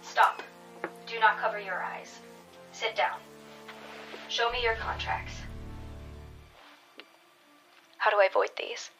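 A woman's voice: medium pitch, steady tone, unhurried, over music, close to an everyday voice. A woman playing a guarded, dry-witted, reluctant superpowered operative in an audio drama, speaking tersely and naturalistically. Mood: commanding.

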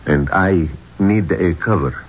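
An actor playing a grave, discreet Egyptian police inspector with formal, guarded phrasing in a radio drama. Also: male voice, low voice, slow pace, exaggerated voice. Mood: ominous, measured. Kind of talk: 1970s radio drama acting. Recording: dull, noisy 1970s radio recording.

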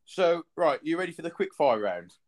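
A man's voice: on the high side, very animated, moderate pace, darkish tone. A man, hosting a podcast in casual chat, rambling with lots of fillers and casual swearing. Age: 30s. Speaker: podcast host.

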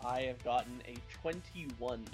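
A man's voice: medium pitch, unhurried, music in the background. A man playing a tabletop game, chatting and improvising casually in a playful, slangy way.

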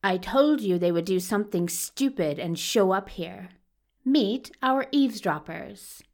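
A woman playing a stern, pedantic male university professor in an audio drama, with a curt and deliberate delivery. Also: mid-range voice, lively intonation. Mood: cold, scornful. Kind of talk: audio fiction acting.

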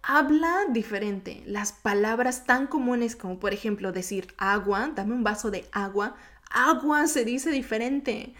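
A woman hosts her own podcast alone, talking in a chatty, informal way with a lilting Mexico City accent. Japanese is her first language, and her voice sits a little higher than most. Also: lively intonation, unhurried, a bit bright. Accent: Mexico City Spanish. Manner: chatty and informal, slightly sing-song. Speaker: podcast host. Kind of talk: solo podcast monologue.

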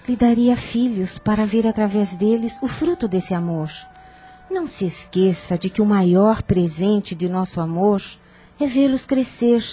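An actress with a Brazilian accent playing a gentle, sensible, consoling younger sister in a radio soap opera. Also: female voice, medium pitch, husky. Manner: earnest, measured, theatrical. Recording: music under the voice.

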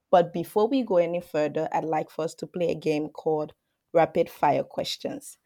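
A woman's voice: low voice, warm tone. A woman hosting a student podcast, upbeat and welcoming as she introduces her guests. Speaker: podcast host.

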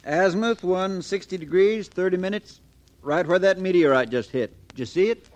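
An actor playing a homespun, easily impressed fire lookout in a 1950s radio drama. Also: male voice, sits high, normal speed, lightly acted. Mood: excited, urgent. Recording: old radio broadcast, faint noise.

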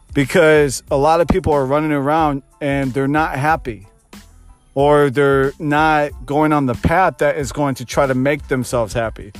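A man of thirty, a fitness coach, hosting his own podcast and giving an earnest motivational pep talk that repeats phrases for emphasis. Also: mid-range voice. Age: 30s.

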